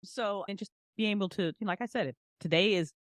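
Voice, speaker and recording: A woman in her forties chatting candidly and informally as an interview guest, with expressive, slangy delivery. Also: medium pitch, quick pace, no echo, clean studio sound. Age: 40s. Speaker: podcast guest, audio drama creator and writer. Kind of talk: casual, candid interview chat.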